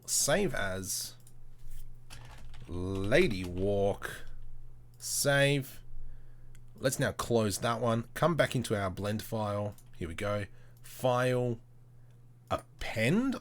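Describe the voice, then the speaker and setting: A man hosting a casual live software tutorial, thinking aloud in short, informal, slangy step-by-step phrases. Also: mid-range voice, lively intonation, takes it slowly, pauses often. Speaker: tutorial host, livestreamer. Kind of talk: casual live screen-share tutorial commentary.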